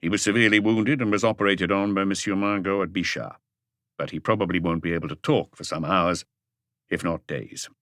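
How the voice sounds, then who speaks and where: Man acting a calm, deep-voiced police chief inspector. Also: a little fast, no room sound, clean studio sound. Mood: firm.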